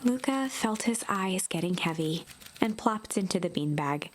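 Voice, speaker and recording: A woman reading scripted storybook narration for a video game in a measured, expressive way. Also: medium pitch, a little hiss or hum. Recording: loud music behind the voice. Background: music.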